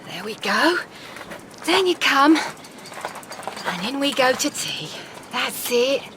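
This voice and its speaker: Young nun, soft and motherly with the kids, a bit high voiced. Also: sing-song, takes it slowly, background noise, full character voice. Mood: coaxing. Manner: soothing, motherly, coaxing. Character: kindly young nun caring for children. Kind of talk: radio drama acting.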